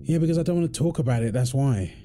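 A man's voice: mid-range voice, expressive, fast talker, dark tone, a little hiss or hum, close to an everyday voice. A man playing a tired, irritable firefighter husband in an intimate roleplay audio drama. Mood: weary, defensive. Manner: weary sighs, snappy, then apologetic.

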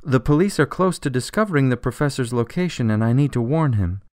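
A man's voice: mid-range voice, expressive, fairly quick, a little mellow, no echo, clean studio sound, lightly acted. A man playing a desperate, exasperated jailed politician in a radio drama, insistent and testy. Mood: testy, urgent.

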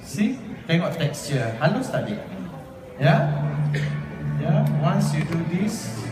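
Malaysian man, older, chatty cooking demo, slightly high voice, recorded from afar in a roomy hall over loud music. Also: very animated, slow pace, distant mic. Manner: chatty, mixes Malay and English, asks the crowd. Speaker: celebrity chef demonstrating cookware. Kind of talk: live cooking demonstration. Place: large hotel event hall, indoors. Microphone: far phone camera.

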